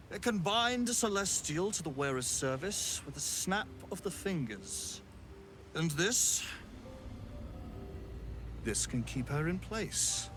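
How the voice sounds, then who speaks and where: An actor playing a haughty, theatrical wizard in a video game, smugly menacing, with a pompous and deliberate delivery. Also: male voice, mid-range voice, a bit bright, slight background noise. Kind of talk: video game villain acting.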